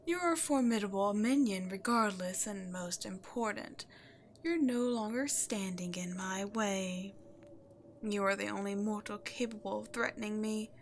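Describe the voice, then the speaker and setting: A woman's voice: medium pitch, a little slow, slight background noise, close to an everyday voice. A woman voicing an imperious, seductive demon queen in a scripted audio roleplay, theatrical and haughty, addressing the listener directly. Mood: smug, intimate. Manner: theatrical, breathy asides, haughty. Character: imperious demon queen, seductive, gloating, easily exasperated villain.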